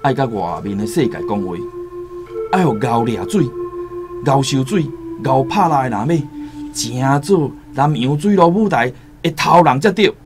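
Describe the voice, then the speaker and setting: A Taiwanese-accented actor playing a cautious, worldly returned seafarer in a radio drama, solemn and deliberate. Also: male voice, medium pitch, big pitch swings, natural voice. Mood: earnest, persuasive. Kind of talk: radio drama acting with first-person storytelling. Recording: music behind the voice.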